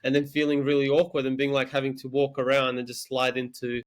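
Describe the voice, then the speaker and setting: A young man from Serbia, whose first language is Serbian, speaking as an interview guest. He tells personal stories in a measured, candid way, with occasional stammering restarts. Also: mid-range voice, completely flat delivery. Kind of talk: reflective personal storytelling.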